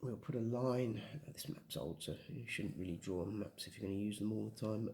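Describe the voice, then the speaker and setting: A man's voice: fairly low pitch, brisk, vocal fry, a little mellow, a little hiss or hum. A man from England, patiently explaining map and compass basics step by step as a hiking guide. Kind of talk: casual instructional explanation.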